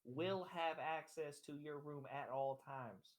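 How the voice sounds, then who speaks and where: A man's voice: fairly high pitch, measured pace. A man running a tabletop game as game master, narrating scenes with easy hesitations.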